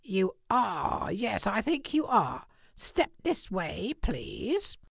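Elderly storybook gentleman played by a woman, gruff turned giddy, emphatic, creaky and swooping. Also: mid-range voice, sing-song, drawn-out words, dry recording, studio quality, full character voice. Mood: feigned sternness. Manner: exuberant, emphatic, theatrical.

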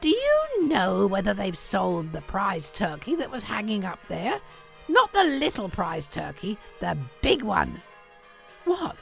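Lady doing an excitable old english gent from a storybook, theatrical, creaky, pitch all over. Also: measured pace, soft background music, heavily acted. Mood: eager. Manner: exuberant, emphatic, theatrical. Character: elderly English gentleman, gruff turned giddily jolly.

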